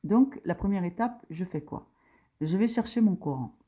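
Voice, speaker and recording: Woman, low husky voice, mellow tone, hesitant religious tutorial, step by step. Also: animated, moderate pace. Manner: hesitant, many fillers, rising emphasis on key points. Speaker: religious teacher. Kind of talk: informal tutorial explaining a diagram.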